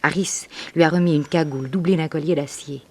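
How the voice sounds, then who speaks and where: A woman's voice: sits low, steady tone, a little fast. A French woman acting in a 1960s radio drama as a devoted, ruthless gangster's mistress with an intimate, knowing manner. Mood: amused, scheming.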